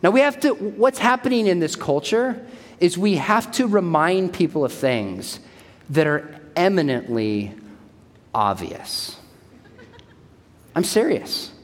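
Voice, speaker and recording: A man's voice: sits high, expressive, takes it slowly, pauses often. A man in his forties giving an emphatic church talk, repeating his key points. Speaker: speaker at a church. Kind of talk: sermon-style talk. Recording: long hall reverb.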